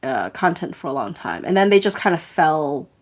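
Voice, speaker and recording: A woman's voice: low-pitched, sing-song, moderate pace. A woman co-hosting a podcast, chatting casually and rambling a little with fillers and restarts. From Hong Kong. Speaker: podcast co-host.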